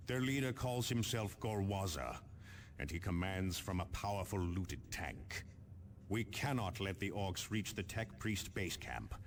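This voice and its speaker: Stern space captain doing game mission briefings, low voice, formal n measured, bit of noise. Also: male voice. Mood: urgent, grave. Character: stern male space marine captain, grave and resolute.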